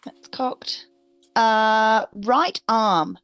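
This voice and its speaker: A woman's voice: a little high, extremely slow, fairly bright tone, clean tone, dry recording, muffled recording, soft background music. A woman playing a tabletop roleplaying game, chatting casually and thinking aloud hesitantly.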